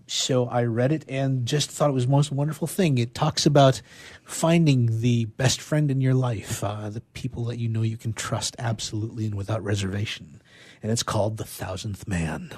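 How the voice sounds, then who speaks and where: A man's voice: mid-range voice, a little mellow, breathy edge. A man, a radio theatre player, talking casually as he introduces a reading. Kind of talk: casual talk introducing a poem.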